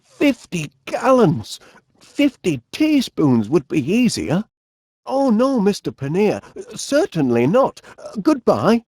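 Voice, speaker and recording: A man's voice: high voice, huge pitch swings, takes it slowly, darkish tone, slightly rough, dry recording, exaggerated voice. A man playing a cheerful, bumbling middle-aged inventor in a comedy game, with a Northern English accent and a politely flustered, hesitant manner. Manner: hesitant, stammering politeness with quaint exclamations. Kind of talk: animated comedy game voice acting.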